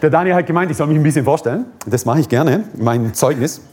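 A man's voice: a little high, quick pace, darkish tone. A man from Croatia, preaching a fervent, repetitive sermon and prayer with joking asides.

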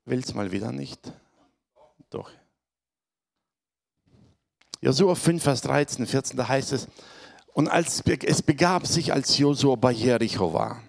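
A man preaching to his congregation, hoarse from a cold, speaking in a conversational way and often checking in with his listeners. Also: medium pitch, lively intonation, normal speed. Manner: conversational, addresses congregation with tag questions. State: has a cold, voice affected. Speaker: pastor. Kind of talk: sermon.

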